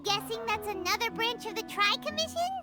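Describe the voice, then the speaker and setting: A woman voicing a tiny, cheeky, inquisitive fairy companion in a video game, with a squeaky childlike voice and a blunt, chirpy manner. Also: animated, brisk. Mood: curious, cheeky. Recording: loud game music behind the voice.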